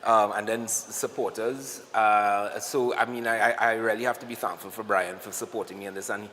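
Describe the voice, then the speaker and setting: A man with a Trinidadian accent, a guest on a morning TV show, telling animated stories and acting out what people said to him. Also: lowish voice, moderate pace, in a large hall, slight background noise. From Trinidad and Tobago. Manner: animated storyteller, acts out quotes. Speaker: guest, cyclist and singer. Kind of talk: casual interview chat.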